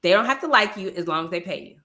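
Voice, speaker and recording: A woman's voice: mid-range voice, lively intonation, fast talker, crowd noise. A woman answering as a podcast guest in a conversational, emphatic way, often speaking in short repeated phrases. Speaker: podcast guest, entrepreneur and sales expert.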